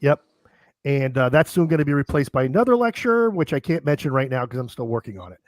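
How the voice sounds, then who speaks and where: Man hosting a live stream, voice going hoarse. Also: medium pitch, big pitch swings, quick pace, no echo. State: hoarse, losing his voice. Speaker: host.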